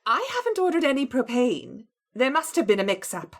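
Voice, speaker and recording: An actress playing a stern, easily exasperated female laboratory scientist with a brisk, indignant manner in a video game. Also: fairly high pitch, huge pitch swings, heavy background noise. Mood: puzzled, irritated.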